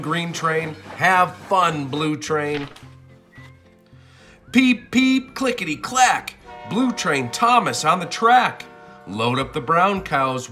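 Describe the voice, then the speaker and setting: A man reading a children's storybook aloud in an expressive, sing-song and deliberate way. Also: on the high side. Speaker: storybook narrator.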